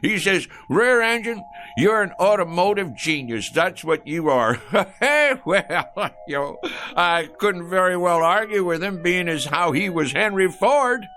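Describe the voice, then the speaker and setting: Folksy, boastful old American codger. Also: male voice, high voice, lively intonation, unhurried, pushed and bright, a little hiss or hum, full character voice. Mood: boastful, cheerful. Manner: rambling, bragging, folksy, chuckling and fumbling for words. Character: boastful, folksy old man running a gas station. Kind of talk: radio drama acting.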